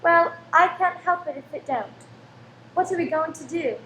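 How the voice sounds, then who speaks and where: A high, fretful voice of a careworn Victorian landlady acted by a woman in a radio play. She is anxious and sharp-tongued, complaining dramatically. The room echoes, with faint noise behind her. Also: moderate pace. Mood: bitter, worried. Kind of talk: old-time radio play acting. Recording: roomy stage recording, faint background noise.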